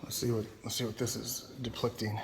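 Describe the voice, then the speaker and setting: A man's voice: lowish voice, level tone, brisk, gravelly, background noise. Rambling man on a museum walkthrough. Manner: rambling, thinks aloud, many fillers and restarts. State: voice keeps cracking. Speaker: travel vlogger. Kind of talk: casual walking tour commentary. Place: indoor and courtyard museum rooms.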